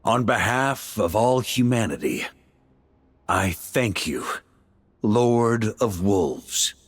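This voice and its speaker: Bold game knight: assured, defiant, wide-swinging pitch, measured pace. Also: male voice, lively intonation, no room sound. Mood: solemn. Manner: theatrical, assured. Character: bold, defiant, seasoned male knight, confident hero. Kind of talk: scripted video game acting. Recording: voice over game music.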